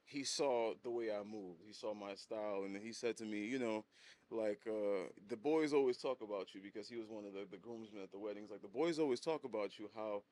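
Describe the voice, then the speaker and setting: A relaxed man in his thirties with a lowish voice, talking through his journey as an interview guest. He chuckles between thoughts and stumbles over repeated words in an easygoing, conversational way. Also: brisk. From Haiti. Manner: laid-back, chuckles often, repeats words. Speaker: guest, hat brand designer. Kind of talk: casual interview storytelling.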